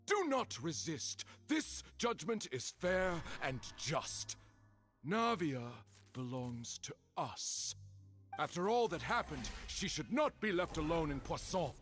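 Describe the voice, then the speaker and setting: A man's voice: on the high side, sing-song, takes it slowly, fairly bright tone, heavily acted. A man playing a self-righteous, accusing mob leader in a video game, declaiming imperiously. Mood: imperious, menacing. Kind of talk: video game character dialogue. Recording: music playing behind the voice.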